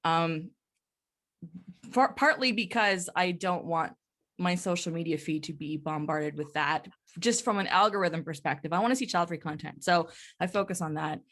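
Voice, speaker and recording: A woman's voice: fairly low pitch, moderate pace, a bit bright. A woman co-hosting a podcast, chatting casually and frankly with the others. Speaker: podcast co-host. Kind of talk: casual conversational panel chat.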